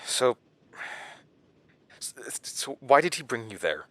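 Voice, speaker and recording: A man's voice: mid-range voice, very animated, slow pace, a bit bright, a little hiss or hum. An actor playing an anxious man who questions a witness in an audio drama, hesitant and stammering but pressing for answers. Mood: uneasy questioning. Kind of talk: naturalistic audio drama acting.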